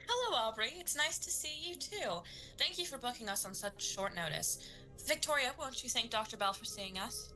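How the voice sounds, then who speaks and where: Female actor as doting pushy mother, high-pitched, swooping intonation, chatty, boastful, comedic audio play. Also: expressive, normal speed, pushed and bright, faint music behind, low-quality recording. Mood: gushing, proud. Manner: gushing, interrupting, nervously dismissive. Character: boastful, chatty, overbearing mother of a star student. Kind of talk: comedic audio drama acting.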